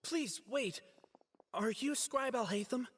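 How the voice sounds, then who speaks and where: A man's voice: very high voice, slow pace, fairly bright tone, breathy edge, in a large hall, full character voice. An actor playing a proud, easily flustered young male student scholar in a video game, hesitant, earnest and defensive. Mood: hesitant, polite. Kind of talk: dramatic game character acting.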